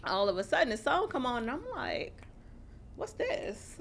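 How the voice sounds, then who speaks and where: An American woman co-hosting a talk show in casual, blunt, profane banter, telling stories in an animated way. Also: on the high side, normal speed, vocal fry. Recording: noticeable background noise.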